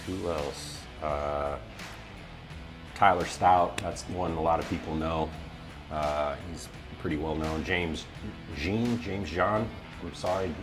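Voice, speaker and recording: A man chatting casually as an interview guest, in a laid-back, joking way. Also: rumbling low. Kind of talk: casual chat.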